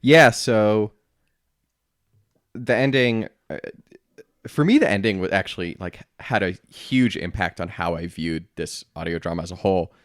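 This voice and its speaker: Man, fairly low pitch, deadpan, casual podcast co-host, dry room-free recording. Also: normal speed, dry recording. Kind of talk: casual chat, explaining a story.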